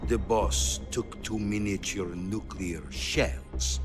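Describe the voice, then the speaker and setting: An actor playing an imposing older Soviet head of state in a cinematic video game, grave, deliberate and ominous. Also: male voice, on the low side, fairly even intonation, music in the background. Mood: startled, grave.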